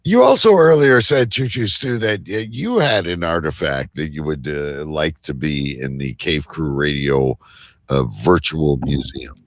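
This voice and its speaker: A man's voice: very deep voice, unhurried, no room sound, clean studio sound. A man hosting a casual live talk show, teasing and prodding his guests with joking questions.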